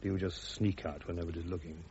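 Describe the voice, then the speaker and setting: An actor playing a bitter, proud, court-martialled army major in a radio drama, curt, dry and sardonic, on a muffled recording. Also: male voice, low voice, level tone, fast talker, darkish tone, slightly rough.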